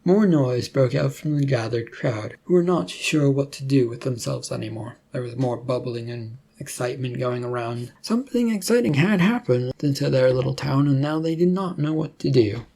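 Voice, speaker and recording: A man with a slightly husky voice reading scripted story narration. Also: medium pitch, moderate pace, few pauses, dark tone.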